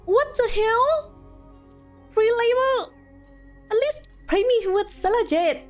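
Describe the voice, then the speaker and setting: A woman livestreaming with casual, blunt, expressive reaction commentary over a game. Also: very high-pitched, takes it slowly. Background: game music faintly.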